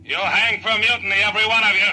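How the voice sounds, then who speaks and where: Sharp, ruthless spaceship officer. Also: male voice, sits high, steady tone, fast, exaggerated voice, rough recording. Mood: commanding, threatening. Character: cold, ruthless male spaceship officer giving ultimatums. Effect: shipboard intercom. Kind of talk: 1950s radio drama acting. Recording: old 1950s radio broadcast, faint noise.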